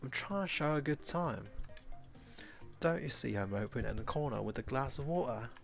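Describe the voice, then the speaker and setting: A man's voice: medium pitch, lively intonation, normal speed, gravelly, faint music behind, voice pushed up. A man voicing a pushy, flirtatious woman hosting a bar night in a story, teasing and insistent.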